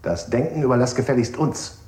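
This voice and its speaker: An actor playing a domineering, contemptuous interrogator in a 1960s radio play, speaking in a curt, bossy way. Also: male voice, mid-range voice, a little fast, a little mellow, natural voice. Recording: echoey room.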